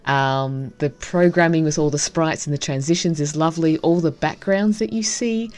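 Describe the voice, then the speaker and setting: A woman's voice: deep voice, moderate pace, a little mellow. A woman giving casual, enthusiastic first-impressions commentary on a game as she plays. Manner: gushing, enthusiastic. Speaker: game streamer reviewing a visual novel.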